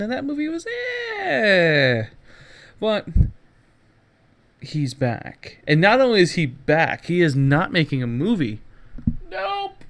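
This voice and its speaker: A male podcast host with a somewhat high-pitched voice delivers casual news commentary. He is sarcastic and jokey, and leans on words with theatrical emphasis.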